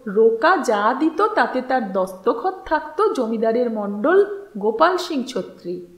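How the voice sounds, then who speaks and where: High-voiced woman narrating slowly in echo. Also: big pitch swings. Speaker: audiobook narrator and storyteller. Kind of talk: expressive literary story narration. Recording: roomy, echoing voice recording.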